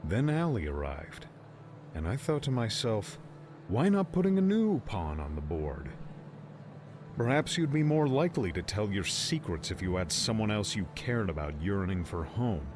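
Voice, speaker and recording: A man plays a smug older villain in an audiobook drama. The voice is low, condescending and menacing, taunting his opponent over faint background ambience. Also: very animated, moderate pace, background noise. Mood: cold threat.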